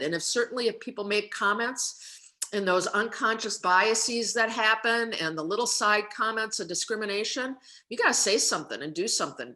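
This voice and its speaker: A woman in her fifties speaks earnestly and with emphasis, her voice bright and forceful. She is on a video call from her living room. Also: medium pitch. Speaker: alumni panelist. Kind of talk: storytelling on a panel.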